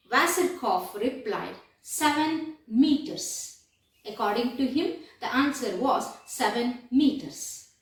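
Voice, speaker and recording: A woman teaching a lesson as a deliberate retelling, repeating phrases for emphasis. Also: high voice. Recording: echoey room, distant microphone.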